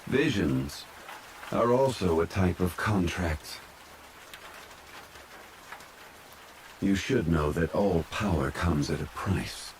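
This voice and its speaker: Guy doing a calm ancient immortal scholar from a game, low voice, formal and polite, soft asmr roleplay with rain behind him. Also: a little hiss or hum, poor audio. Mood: measured, courteous. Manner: formal, measured, courteous. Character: calm, ancient, scholarly male adeptus in human form. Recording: steady rain sound laid behind voice. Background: rain falling.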